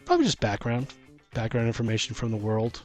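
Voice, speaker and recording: A man's voice: medium pitch, big pitch swings, fast. Man, mid-range voice, casual podcast co-host, dungeon master, rambling and thinking aloud, music in the background. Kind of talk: casual podcast chat about tabletop gaming. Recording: music playing behind the talk.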